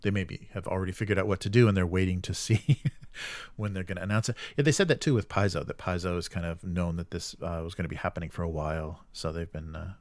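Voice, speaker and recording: A man hosting a casual tabletop gaming livestream, musing and commenting in a rambling, halting way. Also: sits low, steady tone, a little mellow, no echo. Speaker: host of a live tabletop gaming stream. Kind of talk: casual live commentary with reading of chat comments.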